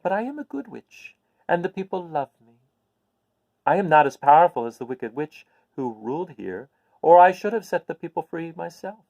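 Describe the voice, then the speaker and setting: Guy doing a nice little old witch lady from a storybook, raised pitch, explains things emphatically, dry close sound. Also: very animated, moderate pace, creaky, a little mellow, no echo, lo-fi sound. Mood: calm, proud. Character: kindly, calm little old woman, a good witch. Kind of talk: storybook character voice.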